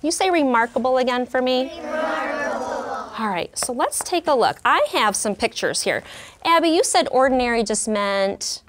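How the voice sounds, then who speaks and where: A woman in her thirties, a teacher in a classroom, reading a story aloud with clear, expressive delivery and pausing to explain words. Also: high voice, slight background noise. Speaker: kindergarten teacher. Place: elementary classroom.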